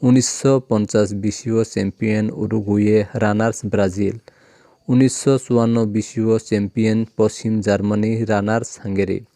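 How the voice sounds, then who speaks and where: A man with a Kokborok accent hosts his channel, reading a long list in steady turns. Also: lowish voice, no echo, studio quality. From Tripura, India. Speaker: channel host. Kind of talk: reading a list in a home video.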